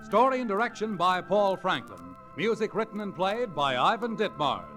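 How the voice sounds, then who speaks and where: A man's voice: high voice, sing-song, normal speed. A man reading scripted credits as a 1940s radio announcer. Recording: vintage radio recording with loud music. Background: music.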